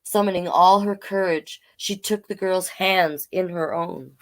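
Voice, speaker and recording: Slow-paced woman reading a children's story aloud. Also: fairly low pitch, poor audio. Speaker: children's storytime reader and host. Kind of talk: reading a picture book aloud with chatty asides.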